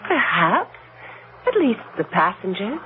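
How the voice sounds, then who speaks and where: Nervous young wife of a sea captain, theatrical, animated pitch, old muffled radio broadcast. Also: female voice, slow, soft background music. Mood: guarded. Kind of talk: 1970s radio drama acting.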